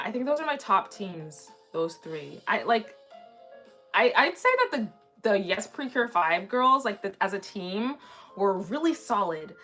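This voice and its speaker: A woman in her twenties chatting casually on a livestream in a rambling way, slightly raspy from a sore throat. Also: mid-range voice, bright, forceful tone. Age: 20s. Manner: chatty, rambling, frequently restarting sentences. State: mildly sick with a sore throat. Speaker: anime livestream host. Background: background music.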